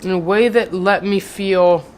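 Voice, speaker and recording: A casual vlogger speaks at an everyday middle pitch and swings between rough, profane venting and a deliberate, lifted read-aloud voice. The talk rambles with fillers and restarts, and a faint hiss sits under it. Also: steady tone. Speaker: video maker reading a book aloud.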